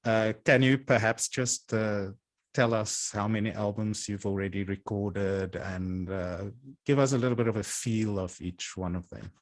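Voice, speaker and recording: A man hosting an interview who asks his questions in a hesitant, halting way. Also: lowish voice, fairly even intonation. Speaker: interviewer, channel host.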